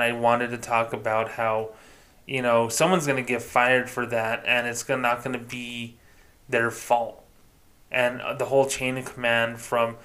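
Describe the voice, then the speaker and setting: A male podcast host talking on his own into the mic, casual and loose, with the odd curse word. His voice sits at an ordinary pitch and stays flat and monotone, with little rise or fall. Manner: monotone, self-described; casual, swears freely. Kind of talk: solo podcast monologue.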